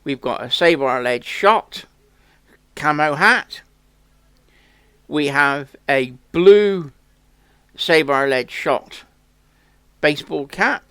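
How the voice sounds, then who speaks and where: A man's voice: a little high, expressive, slow pace, long pauses, fairly bright tone. A man hosting a country sports channel, making an earnest, plain-spoken campaign appeal to viewers. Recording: echoey room.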